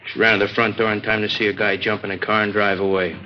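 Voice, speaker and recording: An American actor playing a matter-of-fact police captain in a 1950s radio drama, with clipped, plain-spoken delivery. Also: male voice, on the low side, flat delivery, fast, close to an everyday voice. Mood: grim, businesslike. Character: matter-of-fact male police captain briefing his men. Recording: muffled, faintly noisy old radio recording.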